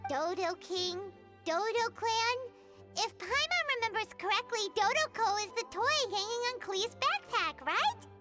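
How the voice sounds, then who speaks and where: A woman voicing a tiny, chatty, bossy fairy companion in a video game, with a squeaky childlike voice and an explaining manner. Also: expressive, measured pace. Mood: explaining, puzzled. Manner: speaks of self in third person, explains knowingly. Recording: game music behind the voice.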